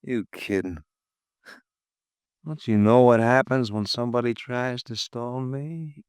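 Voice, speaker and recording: An actor as a sneering gun thug. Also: male voice, medium pitch, a little slow, clear, resonant tone, no room sound, clean studio sound, natural voice. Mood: menacing. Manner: threatening, sneering. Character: menacing gangster thug holding a gun. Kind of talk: 1950s radio drama acting.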